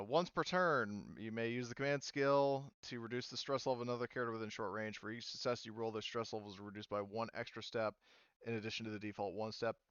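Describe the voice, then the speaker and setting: A man with a smooth, clear voice runs a tabletop game in a casual way. He explains things haltingly and conversationally, recorded close and dry with a slightly muffled top end. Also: on the low side, fairly bright tone, no room sound. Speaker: game master. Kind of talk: casual tabletop game narration and rules talk.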